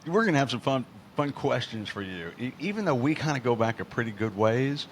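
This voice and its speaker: A man's voice: medium pitch, expressive, brisk, a little hiss or hum. An older man hosting a podcast in a laid-back surfer way, dropping "man" into every sentence. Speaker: podcast host. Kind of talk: casual podcast chat.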